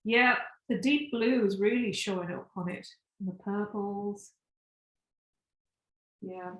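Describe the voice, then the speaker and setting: A woman with a croaky voice, chatting casually with her co-host while she paints and often trailing off mid-sentence. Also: medium pitch, slow, echoey room, poor audio.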